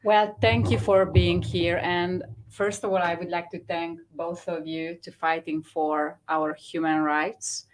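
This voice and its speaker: A Hungarian woman speaking English with a Hungarian accent, giving an earnest, halting personal testimony as a guest. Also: on the low side, little pitch movement, rough recording. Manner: earnest, halting non-native phrasing. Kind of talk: personal testimony on a talk show.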